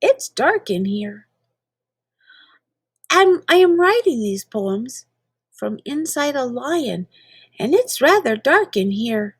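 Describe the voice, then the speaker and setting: A woman's voice: high-pitched, big pitch swings, slow, long pauses, slightly rough, no echo, studio quality. A woman reading children's poetry aloud in a playful, theatrical manner, drawing out words for emphasis. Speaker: reader of children's poems.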